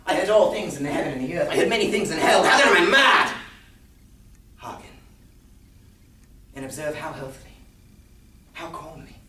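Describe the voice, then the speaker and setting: A man's voice: mid-range voice, sing-song, normal speed, pauses often, pitched above the performer's own voice, natural voice. Man acting wildly swinging, nervous madman, echoey room. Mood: nervous, insistent. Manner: theatrical, swinging from hushed whispers to manic shouts and chuckles. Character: nervous, gleeful madman confessing to murder. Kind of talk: dramatic solo stage recitation of a gothic story. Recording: roomy, distant stage recording with noise.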